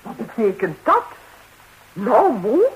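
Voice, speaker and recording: A woman's voice: a little high, sing-song, slow pace, breathy edge, heavily acted, rough recording. An actress playing a nervous, nosy married lady in a radio comedy, talkative and stammering as she repeats herself. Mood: indignant. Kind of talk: 1960s radio comedy acting in Dutch. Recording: hissy 1960s radio recording.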